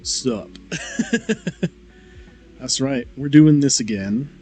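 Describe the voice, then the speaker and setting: A man's voice: medium pitch, drawn-out words, dark tone, faint music behind. A man streaming a model-kit build, chatting casually in a slightly nasal, tired voice with lots of fillers. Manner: laid-back, rambling with fillers. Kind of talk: casual livestream chat.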